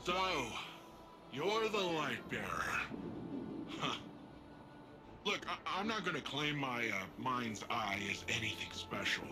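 A man's voice: medium pitch, animated, takes it slowly, long pauses. A young man voicing a cocky, playful rookie hero in a video game, chatty and casual. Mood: cheeky, excited. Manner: casual, hesitant asides, playful bragging. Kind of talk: video game dialogue acting. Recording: music behind.

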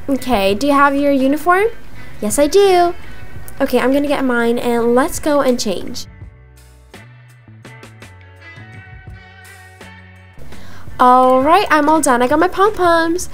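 Casting: chatty teen schoolgirl acted by a young woman, very high voice, casual game roleplay delivery over background music. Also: animated, measured pace. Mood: upbeat, casual. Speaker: roleplay video creator. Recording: music behind the voice.

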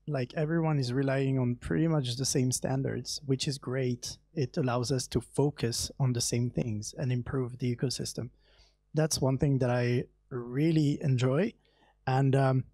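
A young man with a mellow, darker voice speaks on a panel in a large hall. He talks in a relaxed, candid way with small laughs and fillers, holding a handheld mic. The sound is dry and close. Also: mid-range voice. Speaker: panelist, software engineer. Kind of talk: conference panel answer.

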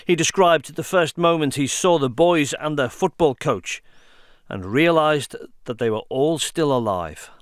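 Brief: British male reporter, news voice-over, dry studio sound, measured. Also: fairly high pitch, expressive, no echo.

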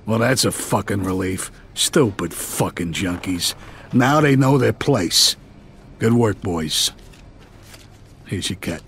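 An actor playing a blunt, businesslike, profane mafia underboss with an American accent and a husky voice in a video game. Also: male voice, lowish voice, expressive, takes it slowly, pauses often, fairly bright tone, slight background noise, heavily acted. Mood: relieved, curt.

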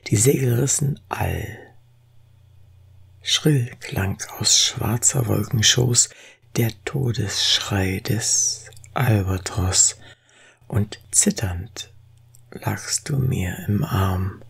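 A reader reciting poetry in a solemn, expressive way, with deliberate phrasing and a breathy, husky voice. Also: low-pitched.

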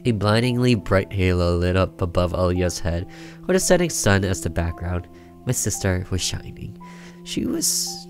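Low-voiced man reading a horror game. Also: a little mellow. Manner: theatrical storytelling with side comments. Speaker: let's player. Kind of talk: reading a horror visual novel aloud. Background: game music with guitar.

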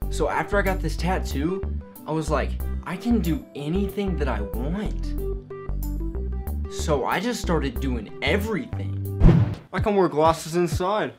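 Goofy, boastful eighteen-year-old guy. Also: on the high side. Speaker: vlogger. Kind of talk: comedy vlog. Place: bedroom with gaming setup.

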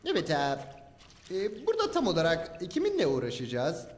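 A stammering jittery sidekick. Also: male voice, sits high, wildly swooping pitch, fairly quick, a little hiss or hum. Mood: nervous. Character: nervous young male sidekick, jumpy and timid. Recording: echoey reverb with music.

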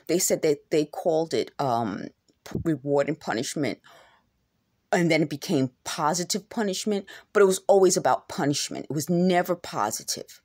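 A woman's voice: low-pitched, darkish tone, no room sound, clean studio sound. A middle-aged woman who has just woken up, with a scratchy throat, talking earnestly and repetitively into a phone camera at home. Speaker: vlogger. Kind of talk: rambling to-camera monologue. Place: indoors at home. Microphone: phone camera held close.

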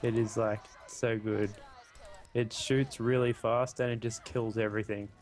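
A man's voice: on the low side, level tone, unhurried, darkish tone. A young man chatting during co-op gameplay, rambling and full of "like". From Australia. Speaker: co-op gaming partner. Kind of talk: casual co-op gameplay chat.

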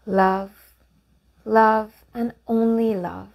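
A woman leading a guided meditation, giving gentle, measured instructions with pauses between phrases. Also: fairly high pitch, fairly even intonation, gravelly.

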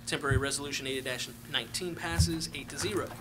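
A man presides over a formal council meeting, moving briskly through the procedure. The recording carries clear background noise from the chamber. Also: a little high, fairly even intonation.

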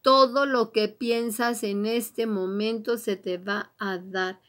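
A woman's voice: mid-range voice, fairly even intonation, slow pace, fairly bright tone. A woman card reader speaking straight to the listener in an encouraging, exhorting manner, repeating her urgings as she gives a motivational horoscope reading. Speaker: tarot and oracle card reader, host.

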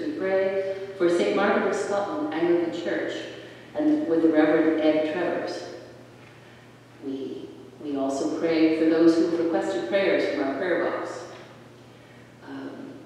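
A lay reader leading prayers of intercession in a church service, reading slowly with slips and self-corrections. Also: mid-range voice, a little mellow, slight background noise. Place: large church with stone walls. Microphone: distant room mic. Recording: echoey church from far off.

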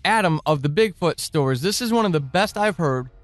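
A male podcast host with a slightly high voice talks informally and earnestly to his audience. His phrasing is deliberate and appreciative. The sound is dry and close, with faint music behind it. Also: lively intonation, no room sound. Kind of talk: informal listener thanks and announcements.

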